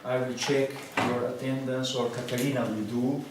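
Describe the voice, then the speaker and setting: A Slovenian-born man organizes a seminar with businesslike announcements, pausing on hesitant "uh"s. He is captured far from the mic in a strongly echoing room, with loud noise, clicks and drawer sounds behind. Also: mid-range voice, fairly even intonation.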